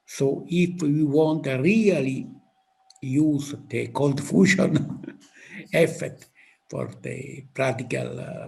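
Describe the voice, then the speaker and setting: A man with a strong Italian accent, a physicist guest, answering interview questions in slow, halting English over a video call. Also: on the high side, vocal fry, darkish tone. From Italy. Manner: slow, halting, thinking aloud.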